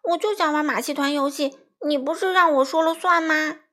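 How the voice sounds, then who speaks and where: A woman's voice: fairly high pitch, animated, normal speed, a bit bright, breathy edge. A woman voicing a bossy, boastful, hot-tempered young male bird in a children's story, with an indignant, squabbling manner. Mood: angry.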